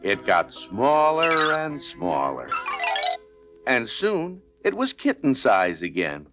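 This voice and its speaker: A man's voice: medium pitch, wildly swooping pitch, slow. A man narrating a children's read-along storybook in a scripted style. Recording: music playing behind narration.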